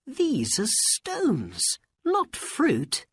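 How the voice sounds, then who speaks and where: A woman's voice: mid-range voice, huge pitch swings, slow pace, husky, no echo, voice pushed up, exaggerated voice. A woman voicing an earnest, anxious young boy in a storybook, with plaintive, emphatic delivery in simple phrases. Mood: desperate. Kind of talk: storybook character voice.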